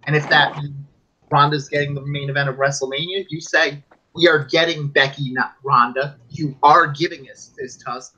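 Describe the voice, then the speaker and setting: Man, podcast co-host, husky bright voice, dull lo-fi sound, rambling argumentative chat. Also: a little high, fairly even intonation, normal speed. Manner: rambling, argumentative, mimics fans in quotes. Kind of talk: casual wrestling podcast chat. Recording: dull lo-fi audio.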